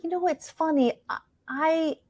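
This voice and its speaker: A woman's voice: very high-pitched, steady tone, a little slow, darkish tone, no room sound, studio quality. A middle-aged American woman talking reflectively as an interview guest, animatedly acting out her own thoughts as she tells stories. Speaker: guest, playwright and actor.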